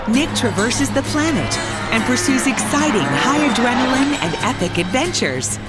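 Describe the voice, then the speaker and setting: A woman giving a scripted, dramatic narration that introduces a guest speaker. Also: on the high side. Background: loud music.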